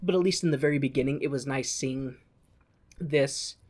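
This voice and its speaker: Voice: medium pitch, moderate pace, darkish tone. A podcast co-host giving a casual, jokey, irreverent retelling of a film.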